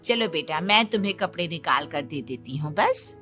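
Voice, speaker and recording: A woman's voice: lowish voice, expressive, normal speed, fairly bright tone, faint music behind. A woman playing an indulgent mother in a cartoon story, coaxing and protective of her son. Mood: doting, soothing. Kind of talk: Hindi cartoon moral story dialogue.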